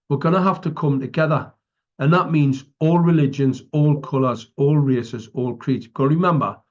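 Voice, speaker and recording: A man's voice: mid-range voice, a little mellow. A man with a British accent giving an impromptu public address as an activist, emphatic and preaching, often checking in with short tags. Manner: emphatic, preaching, tags statements with "right" and "okay". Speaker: activist speaker. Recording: dull lo-fi audio.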